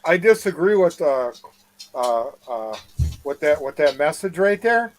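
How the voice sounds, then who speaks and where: A man with a Wisconsin accent, his voice sitting a bit higher than most men's, chatting casually over a video call. He stops and restarts often, repeating words as he gathers his thoughts. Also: sing-song, a little slow, slight background noise. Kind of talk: casual live chat.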